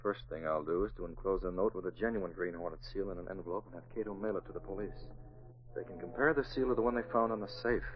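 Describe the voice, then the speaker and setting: Man playing a quick-talking young newspaper publisher. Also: low-pitched, noisy background, close to an everyday voice. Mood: low, resolute. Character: brisk, composed young newspaper publisher, secretly a vigilante. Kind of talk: 1940s radio acting. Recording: muffled old radio recording.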